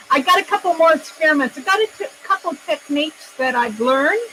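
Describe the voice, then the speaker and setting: A woman hosting an art livestream in casual chat, with a loud, projected voice, rambling and thinking aloud as she demonstrates. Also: sits high, lively intonation, normal speed, slight background noise, poor audio.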